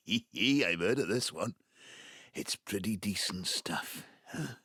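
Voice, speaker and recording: An old Valleys Welsh father in a naturalistic audio drama. He is husky and slow, with big swings in pitch. He rambles chummily, folksy and a little needy. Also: animated, bright, forceful tone, dry recording, heavily acted. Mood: jovial, flustered. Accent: South Wales Valleys Welsh. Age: 70s. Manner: rambling, chummy, groping for words. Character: ageing Welsh patriarch, folksy, needy, jovial widower of 75.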